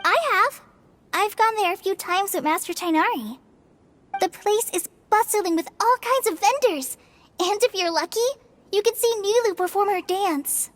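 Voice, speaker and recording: Earnest, very high-voiced teenage girl. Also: normal speed. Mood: friendly. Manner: earnest, encouraging. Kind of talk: anime game voice acting.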